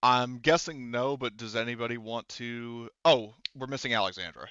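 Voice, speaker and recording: A man running an online tabletop roleplaying game as game master, talking casually and thinking aloud with hesitant pauses. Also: mid-range voice, a bit bright, clear, resonant tone, dry recording, dull audio.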